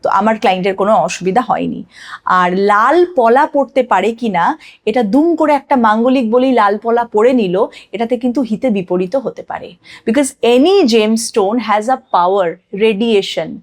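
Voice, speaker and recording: A woman's voice: on the high side, unhurried. A woman explaining like an astrologer on a podcast, emphatically asking and answering her own questions and mixing in English phrases.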